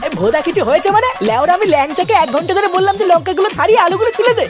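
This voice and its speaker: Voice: extremely high pitch, lively intonation, rapid-fire, music in the background. A performer voicing an irritable, foul-mouthed cook in a comedy cartoon, grumbling and scolding. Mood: exasperated. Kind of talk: exaggerated cartoon comedy dialogue.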